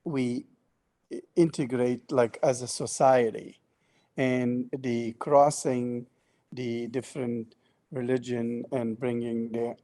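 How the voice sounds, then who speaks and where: Slow, halting man on an art panel. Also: medium pitch, warm tone. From Lebanon and Sierra Leone. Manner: halting, full of filler sounds. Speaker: artist on a panel.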